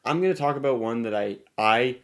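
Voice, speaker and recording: A man's voice: medium pitch, a little fast. A man co-hosting a podcast, chatting casually and comedically in a playful, rambling way. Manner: playful, rambling, animated banter. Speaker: podcast co-host.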